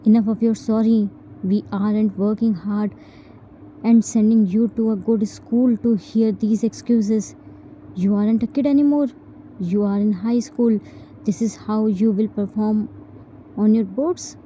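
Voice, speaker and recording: A woman's voice: on the high side, unhurried, warm tone, a little hiss or hum, lightly acted, poor audio. A woman voicing a strict, tired guardian who lectures and scolds with exasperation. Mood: angry. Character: strict, exhausted guardian brother, young man.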